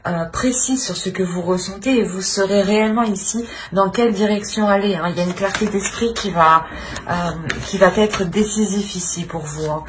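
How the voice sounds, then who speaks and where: A woman's voice: mid-range voice, normal speed, a little hiss or hum. A woman giving an unscripted tarot reading to viewers, hesitant and searching for words as she repeats phrases. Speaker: tarot reader and channel host.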